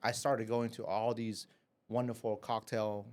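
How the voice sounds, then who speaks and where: A man's voice: on the low side, little pitch movement, measured pace, a little mellow. A man from Vietnam, a café owner, telling his life story as a podcast guest in a casual, filler-heavy way, often ending with "right?". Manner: chatty, many fillers and "right?".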